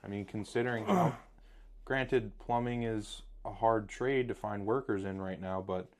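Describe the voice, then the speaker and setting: A man's voice: fairly low pitch, unhurried, a little mellow. A man in his twenties with an Eastern Pennsylvania accent who works for a plumbing company, chatting agreeably and incredulously with fillers. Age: 20s. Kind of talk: casual business talk.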